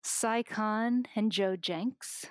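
A woman's voice: medium pitch, extremely slow, no room sound. A woman guest on a talk show, talking casually and with enthusiasm, with some restarts. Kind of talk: casual chat. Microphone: remote recording outside the studio.

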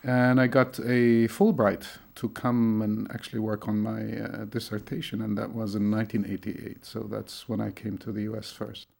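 A middle-aged man from Tunisia, a lecturer, talking thoughtfully in an interview, with many pauses and fillers. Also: fairly low pitch, flat delivery, gravelly, darkish tone. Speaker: lecturer in Arabic studies. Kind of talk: conversational interview.

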